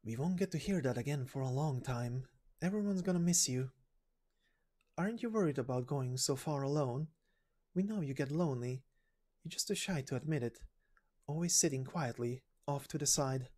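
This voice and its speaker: A woman's voice: extremely low pitch, expressive, moderate pace, mellow. A woman reading out a game's side story in character, as an amateur voice performer. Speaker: amateur narrator and voice actor of game stories. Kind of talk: character voicing of a game story.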